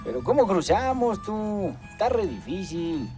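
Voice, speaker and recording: Weary, naive cartoon animal sidekick, high lively voice, plaintive delivery. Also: male voice, measured pace, over music.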